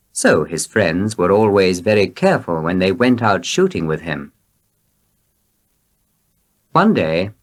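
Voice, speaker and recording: Low-voiced man, slow animated story reader. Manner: deliberate, expressive storytelling. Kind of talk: slow scripted read-aloud of short tales for learners.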